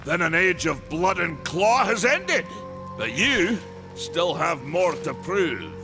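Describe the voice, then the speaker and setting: An actor playing a proud, stern warrior in a video game, gravelly and declamatory. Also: male voice, high voice, sing-song, slow pace, full character voice. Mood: stern approval. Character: proud, stern warrior maker, fierce and zealous. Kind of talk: video game cutscene acting. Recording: loud music over the voice. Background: loud game score.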